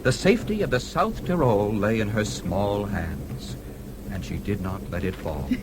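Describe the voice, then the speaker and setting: Breezy, low-voiced newspaperman. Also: lively intonation, measured pace. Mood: declaiming. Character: breezy, affectionate North American newspaperman. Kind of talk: radio drama acting. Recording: radio drama with clear vehicle-like background noise.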